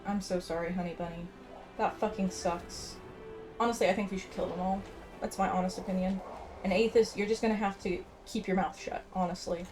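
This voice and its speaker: A woman's voice: medium pitch, fairly even intonation, brisk, poor audio. A woman hosting a gaming video, commenting casually with a sarcastic, sweary, exasperated manner. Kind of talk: casual game commentary, reading quest text aloud. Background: game music.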